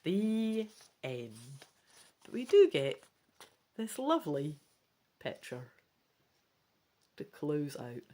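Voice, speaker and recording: Storyteller for a fantasy gamebook: unhurried, theatrical, very animated intonation, deliberate phrasing. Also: medium pitch. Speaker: gamebook reader and video host. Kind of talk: dramatic storybook narration with casual asides.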